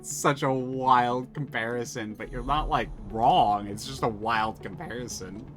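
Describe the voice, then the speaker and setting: A man's voice: medium pitch, unhurried, over music. A man streaming a story game live, reading narration aloud and thinking aloud between moves, with frequent restarts.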